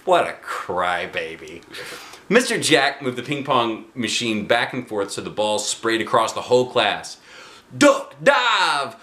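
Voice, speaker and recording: Animated, echoey man reading a storybook. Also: fairly low pitch, unhurried. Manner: expressive, dramatic delivery. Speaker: book narrator, dad reading aloud. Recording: echoey room.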